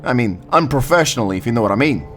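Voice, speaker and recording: A man's voice: mid-range voice, animated, very fast, fairly bright tone, faint music behind, lightly acted. A man playing a cocky, teasing Grim Reaper in a fantasy audio roleplay, talking like a smug, slangy wise guy. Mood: cocky, jovial.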